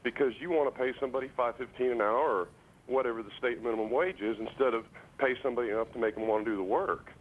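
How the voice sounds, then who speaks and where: A man phoning a call-in show, speaking casually and haltingly, repeating words as he insistently makes his point. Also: medium pitch. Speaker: radio and TV phone-in caller. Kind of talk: casual opinion and anecdote on a call-in. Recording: voice over phone line to broadcast.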